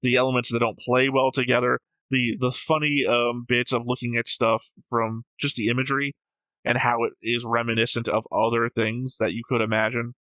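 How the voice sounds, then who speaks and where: A man's voice: medium pitch, flat delivery, normal speed, pushed and bright, no echo, rough recording. A man talking casually as a reaction show host, opinionated and analytical, with a blunt, flippant way of speaking.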